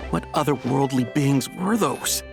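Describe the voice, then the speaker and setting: A man's voice: medium pitch, vocal fry, fairly bright tone, heavily acted. A man voicing a flustered, fawning tea merchant in a video game, stammering and apologetic as he evades his customers. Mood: relieved. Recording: music behind the voice.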